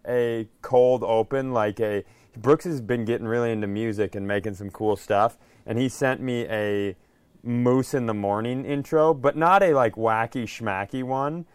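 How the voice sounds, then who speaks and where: A clear-voiced American man of medium pitch talks casually as a podcast co-host. His delivery halts and doubles back, full of self-deprecating humour, in a close, dry recording. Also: no room sound.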